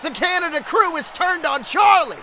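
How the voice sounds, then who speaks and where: A male wrestling commentator calls the action in a strikingly high, sharp voice. His delivery is breathless and exclamatory, full of dramatic emphasis. There is faint noise and ambience behind him. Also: animated, moderate pace. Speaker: wrestling commentator, podcast host. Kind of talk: excited play-by-play wrestling commentary.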